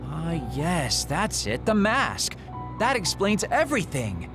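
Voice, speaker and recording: Glib game character, teasing, very animated delivery, music behind. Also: male voice, high-pitched, slow, heavily acted. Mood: breezy, explaining. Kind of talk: English anime video game voice acting.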